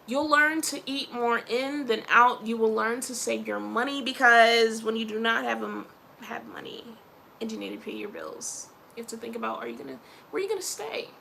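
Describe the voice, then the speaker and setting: A woman's voice: a little high, very animated, moderate pace, vocal fry, bright, forceful tone, a little hiss or hum. A young woman from Philadelphia with a Philly accent, talking casually and rambling in a personal vlog, often laughing and mentioning her faith. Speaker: vlogger.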